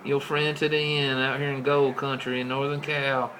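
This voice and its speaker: A man's voice: medium pitch, monotone, moderate pace, fairly bright tone. An American man chatting casually in folksy, colloquial CB radio slang. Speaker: CB radio operator. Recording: clearly noisy CB radio setup.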